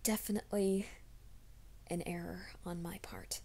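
A woman voicing a troubled young man who hides a werewolf nature, in a soft, hushed ASMR roleplay, often whispering. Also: fairly low pitch, steady tone, unhurried, vocal fry, slightly rough, heavily acted. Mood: anxious whisper. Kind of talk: fan audio drama, ASMR roleplay.

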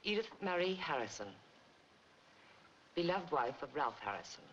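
A woman's voice: sits low, big pitch swings, normal speed, a bit bright, slight background noise. Formal, grave wealthy woman, middle-aged, 1940s film drama, clipped short answers, dull old soundtrack. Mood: grave, composed. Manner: terse, composed, formal.

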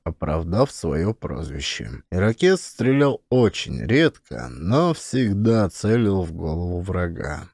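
Male audiobook narrator, warm and dark in tone, dry close recording, dramatic scripted read. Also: on the low side, dry recording.

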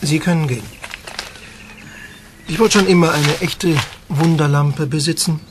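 A male actor playing an eccentric film star in a German audio drama, dramatic and savoring his words with pauses. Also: on the high side, background noise, lightly acted. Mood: storytelling, enraptured. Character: theatrical, eccentric film actor pining for his escaped genie.

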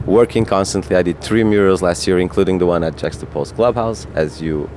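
Portuguese man, non-native English speaker, low-pitched, halting with fillers, outdoor rooftop interview. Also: a little mellow, a little hiss or hum. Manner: hesitant, lots of fillers and restarts. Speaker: guest artist. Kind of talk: casual interview chat. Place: hotel rooftop terrace outdoors. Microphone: handheld mic.

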